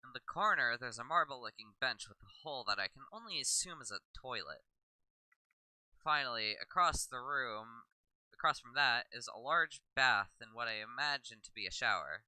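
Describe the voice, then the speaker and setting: A man narrates a visual novel in the first person. His voice is strikingly bright and forward, with a clean, smooth tone and a mid-range pitch. The recording is dry, with little room around it. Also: animated, normal speed, dry recording, low-quality recording. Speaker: video maker reading a visual novel aloud.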